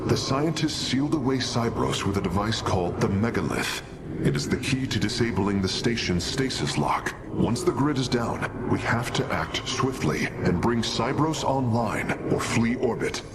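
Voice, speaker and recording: An actor playing a careful alien engineer in a video game, explaining things in a precise, formal, worried manner. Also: male voice, mid-range voice, lively intonation, moderate pace, noisy background, lightly acted. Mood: cautious, serious. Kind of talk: dramatic video game acting.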